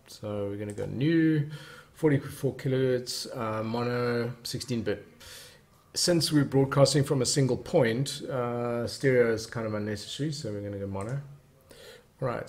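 A man presenting a casual software tutorial, explaining things and defining terms as he goes. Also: medium pitch.

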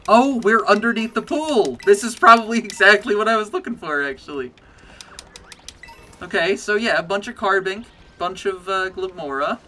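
Excitable streamer with a bright voice. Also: male voice, normal speed, slight background noise. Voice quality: bass voice. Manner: chatty, easily excitable, pleads with the game. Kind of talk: casual gameplay commentary. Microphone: desk mic with webcam.

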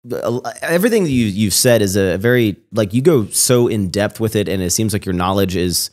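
Low-voiced man hosting a casual podcast. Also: expressive, brisk, darkish tone. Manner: conversational, acts out quoted dialogue, many "like". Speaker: podcast host. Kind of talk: casual interview chat. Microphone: studio mic with headphones.

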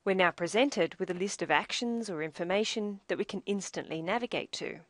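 A woman presenting a software demo as scripted instructional narration, measured and explanatory. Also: lowish voice, no echo.

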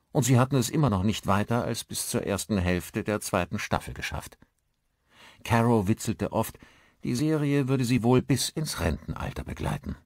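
A man's voice: low voice, no echo, studio quality. A man reading a suspense novel aloud as a scripted audiobook narration.

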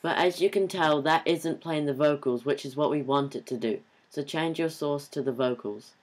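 A man co-presenting a software tutorial, giving instructional narration. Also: a little high, normal speed, soft background music.

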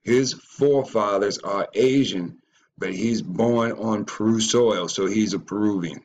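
Low-voiced man ranting in commentary. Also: unhurried, darkish tone, lo-fi sound. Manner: ranting, stumbles and repeats words. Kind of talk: reaction commentary on a video.

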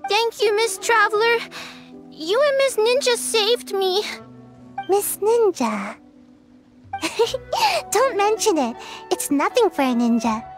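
Super high sleepy little ninja girl, slow and drowsy, voice bouncing all over. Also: very animated, soft background music, heavily acted. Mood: playful. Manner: drowsy, slow and soft-spoken. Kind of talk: video game voice acting.

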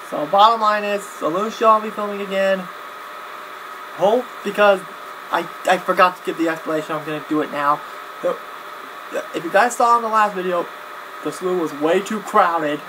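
Rambling young man vlogging over background noise. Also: sits high. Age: young adult. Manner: rambling, halting, backtracks and repeats phrases. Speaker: video blogger. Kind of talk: casual vlog announcement to camera. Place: small cluttered home room, indoors. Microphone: webcam at desk distance. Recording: clear background noise, webcam-grade audio.

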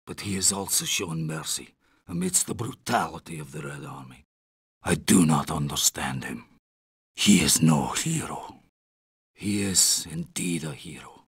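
A troubled, idealistic young Red Army man from a war video game. He speaks English with a Russian accent and a heavily gravelly edge. The recording is dry, with no room around the voice. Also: high-pitched, pushed and bright, no room sound, exaggerated voice. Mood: somber, reflective. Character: idealistic young Soviet soldier, troubled by brutality. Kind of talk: video game character voice lines.

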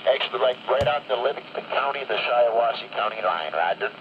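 A man's voice: mid-range voice, animated, fast. A man chatting hesitantly over CB radio, repeating words as he goes. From Michigan, United States. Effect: heard through CB radio. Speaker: CB radio operator. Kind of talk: casual radio chat. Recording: muffled CB transmission with loud static.

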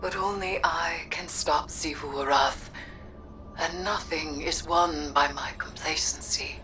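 A brooding sorceress out of a dark fantasy game. Her low voice moves slowly and formally, weighing each word with solemn defiance. Music sits behind her. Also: fairly even intonation, low-quality recording. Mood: pleading, resolute. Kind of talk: theatrical video game dialogue.

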